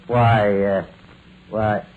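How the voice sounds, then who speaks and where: An actor playing a bewildered, excitable soldier who stammers in disbelief, in old radio drama style. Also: male voice, fairly low pitch, very slow. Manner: stammering, incredulous. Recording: tinny, noisy vintage radio recording.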